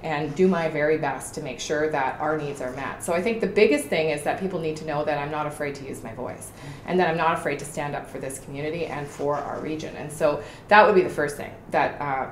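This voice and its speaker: A woman's voice: low voice, fairly quick. A middle-aged woman, a political candidate, giving passionate, emphatic interview answers. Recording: echoey room with faint noise.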